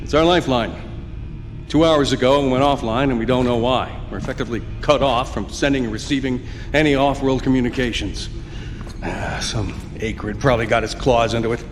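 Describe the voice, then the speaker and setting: A man's voice: medium pitch, a little fast, close to an everyday voice. Man acting a hard-nosed boss, curt, bossy, game cutscene, bit of reverb and faint noise. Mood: grim. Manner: curt, authoritative. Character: blunt, hard-nosed male site boss.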